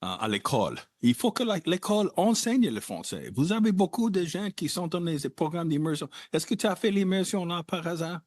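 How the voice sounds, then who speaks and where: A man hosting a conversational interview, talking in an animated way with wide jumps in pitch. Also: normal speed, hardly any pauses, no room sound, studio quality. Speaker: podcast host.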